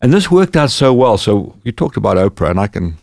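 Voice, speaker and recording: Podcast guest, Zimbabwean man, low-ish voice, animated storyteller, dry room. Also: brisk, gravelly, no echo. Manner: animated anecdotes, lively quoted dialogue. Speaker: podcast guest, former bodyguard. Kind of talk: casual storytelling.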